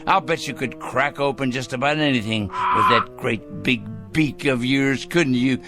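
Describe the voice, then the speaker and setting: An actor playing a deranged, self-righteous crank in an audio drama, ranting gleefully and muttering to himself. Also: male voice, medium pitch, unhurried, over loud music, natural voice. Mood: excited, cooing. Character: gleeful deranged crank bent on judging evil people. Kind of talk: theatrical audio drama acting.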